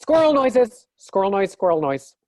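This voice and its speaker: A woman playing an opinionated squirrel sidekick in a staged reading, speaking tersely with expressive inflection. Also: mid-range voice, normal speed, no room sound, natural voice. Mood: insistent. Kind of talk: staged reading, animal noises spoken as words.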